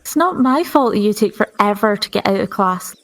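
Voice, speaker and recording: A woman playing a shy, gentle teenage schoolgirl in a tabletop game, hesitant and understated. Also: medium pitch, expressive, fairly quick, lightly acted. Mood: mildly exasperated.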